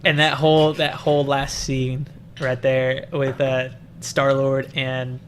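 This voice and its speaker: Young dude cohosting a movie chat, rambly n hesitant, bit of room noise behind him. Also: male voice, mid-range voice, monotone, takes it slowly. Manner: hesitant, rambling, circles back to rephrase. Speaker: film review co-host. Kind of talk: casual unscripted movie chat.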